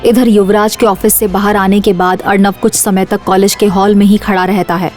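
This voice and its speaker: A woman's voice: mid-range voice, flat delivery, normal speed, a little mellow, faint music behind. A woman reading scripted suspense narration for an audio drama series.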